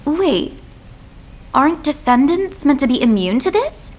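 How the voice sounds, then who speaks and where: A woman's voice: high-pitched, very animated, a little slow. A woman playing a young, curious female fighter in a sci-fi game, with a questioning, youthful manner. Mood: puzzled.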